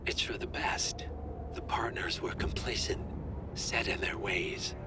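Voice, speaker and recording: A man playing a smooth, scheming, power-hungry middle-aged villain in a video game, measured and condescending. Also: on the low side, whispered, lightly acted. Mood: smug, persuasive. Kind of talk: cinematic video game acting. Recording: loud music behind the voice.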